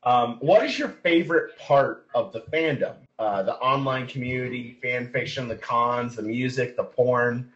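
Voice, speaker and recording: A man's voice: medium pitch, unhurried, hardly any pauses, a bit bright. A man hosting a podcast, putting questions to a guest in a casual interview style over an online voice chat. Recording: muffled lo-fi internet voice call.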